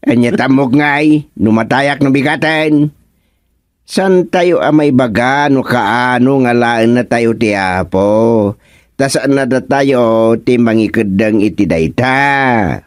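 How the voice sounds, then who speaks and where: A man with an Ilocano accent acting an easygoing, free-spending village councilor in a comedy radio drama, with carefree, drawn-out talk. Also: mid-range voice, no echo, studio quality, natural voice. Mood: nonchalant, breezy.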